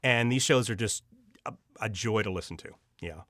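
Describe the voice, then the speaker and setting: A man's voice: on the low side, moderate pace, fairly bright tone, no echo, clean studio sound. A man speaking as an interview guest, an audio drama writer and director who tells anecdotes with gushing enthusiasm and acts out the dialogue. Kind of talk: animated anecdotal storytelling.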